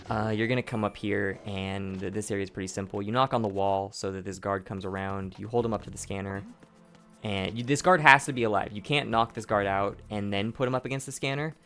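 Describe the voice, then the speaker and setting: A man with a hoarse, sore throat giving casual commentary over his own speedrun, explaining each step, often restarting his sentences. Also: on the low side, brisk, a bit bright, soft background music. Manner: explanatory, rambling, with frequent restarts and profanity. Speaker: gameplay commentator.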